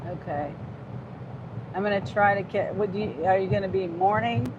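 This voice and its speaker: A woman's voice: mid-range voice, moderate pace, highs cut off. Woman, 50s, gig driver on a livestream; casual and rambling, mid-range voice, noisy car cabin. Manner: chatty and rambling, with frequent restarts. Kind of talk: casual live-stream chat reading viewer comments.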